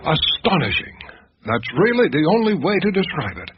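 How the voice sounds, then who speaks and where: An actor playing a genteel, fussy older family doctor in a radio drama, speaking in a formal, emphatic, theatrical way. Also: male voice, a little high, sing-song, normal speed, faint music behind. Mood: admiring, reflective. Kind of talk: radio drama narration and acting. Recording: dull, noisy radio drama recording.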